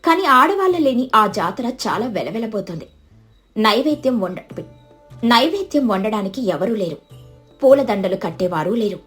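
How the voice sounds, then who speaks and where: A woman's voice: a little high, very animated, moderate pace, fairly bright tone, faint music behind. A woman reading scripted narration for a moral story in a storytelling style.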